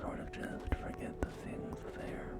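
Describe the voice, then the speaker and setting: A man playing a folksy post-apocalyptic real estate agent in a whispered ASMR roleplay, with gentle, hesitant, reassuring sales patter. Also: a little slow, lightly acted. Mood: calmly reassuring. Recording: close whisper over soft music.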